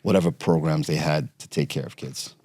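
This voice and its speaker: Deep-voiced man, dry close sound. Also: a little mellow, breathy edge, dry recording. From Romania. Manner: halting, many fillers and restarts. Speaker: podcast guest. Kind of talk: conversational interview storytelling.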